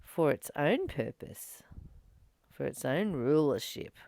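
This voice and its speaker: A woman reading a spiritual text aloud and reflecting on it in a slow, gentle, contemplative way. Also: sits low, very animated, no room sound. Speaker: spiritual study reader and teacher.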